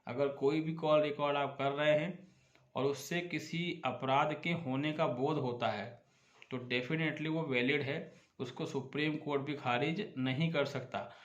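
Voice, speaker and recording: A man speaks in a roomy, very echoey space. His voice sits a little high for a man. He explains points like a lawyer addressing viewers, pressing his case with emphasis and rhetorical questions. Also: steady tone, a little slow, muffled recording. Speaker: advocate giving legal advice as channel host.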